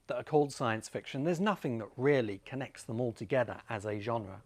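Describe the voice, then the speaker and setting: A man's voice: mid-range voice, darkish tone. A man giving an informal, opinionated explanatory talk, wandering through ideas and addressing the listener directly. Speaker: video essay host. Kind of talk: informal explanatory monologue to camera. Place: home among rice fields in Bali.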